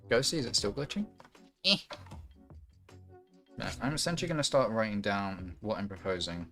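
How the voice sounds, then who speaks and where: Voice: medium pitch, sing-song, moderate pace, a bit bright, soft background music. A young adult livestream host chatting casually and candidly, with frequent swearing.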